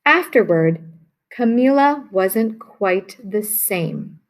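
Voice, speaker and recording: A woman reading a children's picture book aloud in a lively, expressive way. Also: mid-range voice, takes it slowly. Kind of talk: read-aloud storybook narration.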